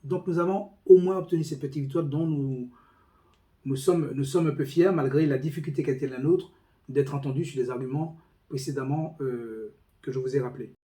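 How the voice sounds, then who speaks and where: A man speaking as a police union representative, giving a formal, deliberate, emphatic report on a meeting. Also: mid-range voice, dark tone. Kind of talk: spoken meeting report with quoted documents.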